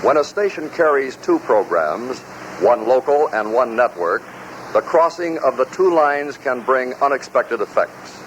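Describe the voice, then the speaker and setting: A man's voice: medium pitch, big pitch swings, a little slow, a little hiss or hum, poor audio. A man narrating a compilation in scripted announcer style on an old radio recording.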